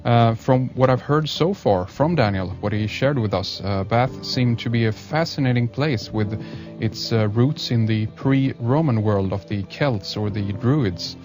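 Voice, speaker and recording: A man hosting a radio show, introducing and interviewing a guest in a slow, hesitant way with many uhs. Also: medium pitch, a little mellow. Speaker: radio host. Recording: music bed behind voice.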